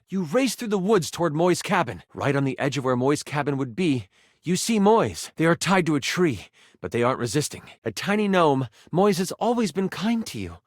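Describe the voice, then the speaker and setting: An actor playing a theatrical game master, narrating a fantasy adventure in a dramatic, suspenseful way. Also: male voice, on the high side, no room sound, clean studio sound. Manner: dramatic, suspenseful second-person storytelling.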